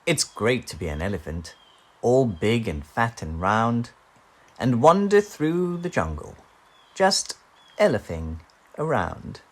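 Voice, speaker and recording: A man's voice: medium pitch, sing-song, slow pace, slight background noise. A man voicing a big, round, jolly elephant from a children's storybook in a hearty, rollicking way.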